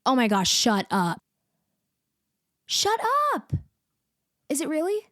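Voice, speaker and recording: A woman playing an upbeat, teasing, affectionate young best friend in a casual, playful and expressive ASMR roleplay, speaking one side of a conversation. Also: high-pitched, slow pace, no echo, studio quality, full character voice. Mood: teasing, surprised.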